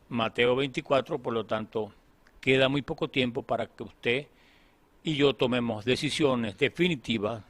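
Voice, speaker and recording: A man's voice: mid-range voice, fairly bright tone. A man preaching a sermon in an earnest tone, often checking in with his listeners as he goes. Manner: earnest, with frequent "¿verdad?" fillers.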